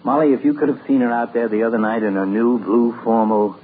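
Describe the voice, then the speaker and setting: An actor playing a genial, lovestruck bachelor town doctor in 1940s radio comedy style, jovial and dreamy. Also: male voice, mid-range voice, fairly even intonation, brisk, breathy edge, lightly acted. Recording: tinny lo-fi old radio broadcast.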